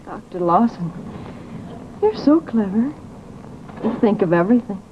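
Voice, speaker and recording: An actress playing a gentle, wistful woman in old-time drama style. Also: mid-range voice, expressive, unhurried, close to an everyday voice. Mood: anxious, coy. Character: gentle, wistful woman freed from witch rumours. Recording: muffled, noisy vintage broadcast recording.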